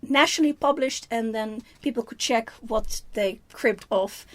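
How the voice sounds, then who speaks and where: A woman's voice: on the high side, a little slow. A Dutch woman co-hosting a film review, chatting casually and thinking aloud with frequent restarts. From Netherlands. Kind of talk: casual chat.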